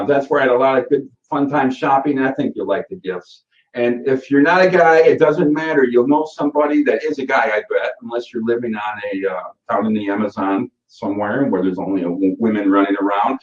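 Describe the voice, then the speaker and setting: A man's voice: mid-range voice, darkish tone. A man in his forties with a Michigan accent, hosting a live course Q&A in a rambling, folksy way full of fillers and self-corrections. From Michigan, USA. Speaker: course host. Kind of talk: casual live Q&A session. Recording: roomy, distant and muffled webinar audio.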